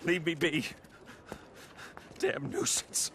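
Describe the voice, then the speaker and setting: Grumpy proud old drunk dad in a game, high piercing voice, swoops around, indignant. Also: male voice, huge pitch swings, takes it slowly, fairly bright tone, slight background noise, exaggerated voice. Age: older. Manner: indignant, pompous, blustering. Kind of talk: video game cutscene acting.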